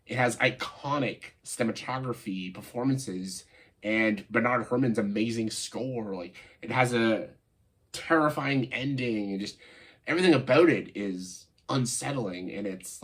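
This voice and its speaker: A man with a stuffed-up, nasal voice from a cold, giving casual, opinionated video commentary in a halting way, stressing key words and often saying "like". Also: on the low side, fairly bright tone, husky. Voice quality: congested, nasal voice. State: congested, stuffed-up nose after a cold. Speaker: video host, film commentator.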